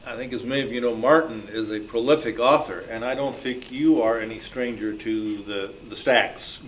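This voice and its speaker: Male moderator, medium pitch, conversational interviewing, noisy live recording. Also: moderate pace. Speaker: panel moderator. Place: club meeting room. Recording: clearly noisy live room.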